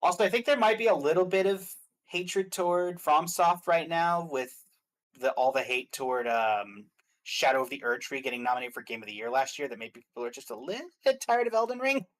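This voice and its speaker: A man's voice: on the high side, expressive, brisk. A man chatting casually and giving his opinions as a guest commentator on games. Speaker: guest commentator on game awards stream.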